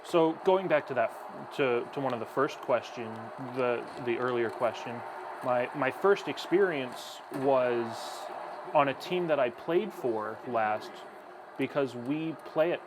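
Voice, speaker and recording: A man's voice: medium pitch, animated, measured pace, background noise. A young man who broadcasts hockey, chatting while gaming and then calling the action play-by-play.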